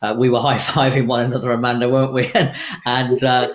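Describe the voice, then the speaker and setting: Male co-host, halting restarts, echoey room. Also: medium pitch, flat delivery, a little hiss or hum. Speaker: podcast co-host. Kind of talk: casual chat.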